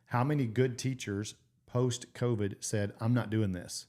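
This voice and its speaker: A man co-hosting a casual podcast, a former teacher and coach, who asks questions and keeps chiming in with quick agreement. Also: mid-range voice, steady tone, darkish tone. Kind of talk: casual chat.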